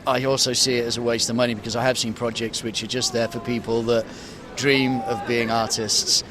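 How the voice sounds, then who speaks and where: A man's voice: medium pitch, little pitch movement, brisk, over music. A man in his thirties, a monk, answering a street interview in a hesitant way with many ums and restarts. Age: 30s. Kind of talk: street vox pop interview. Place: outdoors on a city street. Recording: outdoor street with loud traffic noise.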